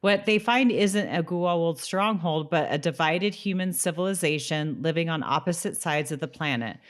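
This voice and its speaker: A woman's voice: fairly low pitch, moderate pace. A woman co-hosting a podcast, chatting casually and recapping an episode. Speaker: podcast co-host.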